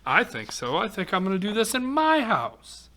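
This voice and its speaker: A man's voice: sits high, wildly swooping pitch, normal speed, bright, forceful tone. A man reading a rhyming picture book aloud to children, expressively, with exclamations and chatty asides. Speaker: volunteer storybook reader for children.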